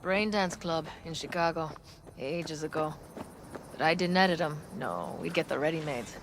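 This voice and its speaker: An easygoing nomad camp woman in a video game. Her plain-spoken voice has a lot of gravelly fry. Clear ambient noise surrounds her. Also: sits low, lively intonation, normal speed. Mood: chatty.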